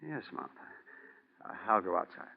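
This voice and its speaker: An actor playing a meek middle-aged clerk, hesitant and stammering, in 1940s radio style. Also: male voice, fairly low pitch, lively intonation, moderate pace, creaky, heavily acted. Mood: timid, flustered. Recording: muffled vintage 1940s radio recording.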